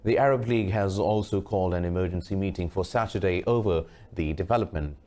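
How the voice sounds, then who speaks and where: A man reading a scripted news bulletin as anchor, formal and measured. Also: sits low, warm tone.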